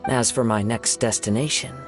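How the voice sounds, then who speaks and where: An actor playing a gentle, thoughtful young wandering samurai in a video game, speaking in a measured, courteous way. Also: male voice, medium pitch, steady tone, soft background music, lightly acted. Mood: courteous, composed.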